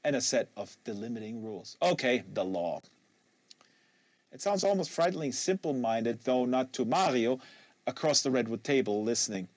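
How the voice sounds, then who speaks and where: Man giving an expressive, dry-sounding literary reading. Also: medium pitch, normal speed, no room sound. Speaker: audiobook reader hosting a live reading series. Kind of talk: literary audiobook narration.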